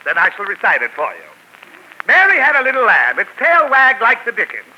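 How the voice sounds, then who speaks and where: A pompous, high, fussy poet. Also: male voice, wildly swooping pitch, fairly quick, pushed and bright, muffled recording, exaggerated voice. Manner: pompous, sputtering. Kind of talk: 1940s radio acting. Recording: old radio broadcast with faint hiss.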